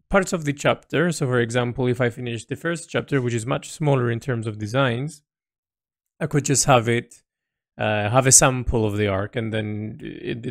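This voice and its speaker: Gravelly-voiced man thinking aloud. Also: mid-range voice, no echo, clean studio sound. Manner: hesitant, often repeating words and phrases as he thinks aloud. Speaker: artist recording an audio log. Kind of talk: reflective unscripted monologue.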